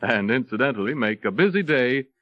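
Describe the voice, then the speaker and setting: 1930s radio ad man, polished sales pitch, medium voice, muffled sound. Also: expressive, brisk, dry recording. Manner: polished, persuasive descriptive delivery. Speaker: commercial announcer. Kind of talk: 1930s radio advertisement, scripted. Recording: dry, muffled vintage radio broadcast.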